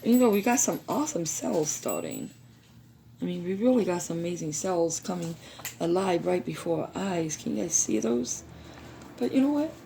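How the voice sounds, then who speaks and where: Hoarse, gravelly-voiced woman chatting. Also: lowish voice, mellow, a little hiss or hum. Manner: chatty, addresses viewers as you guys. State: hoarse, recovering from lost voice. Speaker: craft video host. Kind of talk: casual how-to demo.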